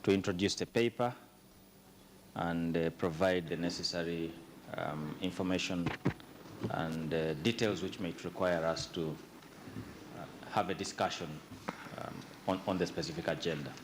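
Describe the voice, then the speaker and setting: A man's voice: low voice, steady tone, normal speed, background noise. A man chairing a formal committee meeting, speaking formally with many ums and uhs.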